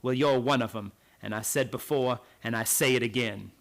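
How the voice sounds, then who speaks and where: An actor playing a bitter old servant in a radio drama, speaking in deliberate, folksy, menacing phrases. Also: male voice, medium pitch, vocal fry, natural voice. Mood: bitter, gloating. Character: bitter old servant boatman, gloating with lifelong hatred.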